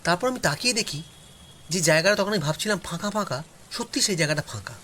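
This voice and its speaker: A man narrating a first-person story in a dramatic, suspenseful way with expressive, drawn-out pauses. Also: high-pitched, slight background noise.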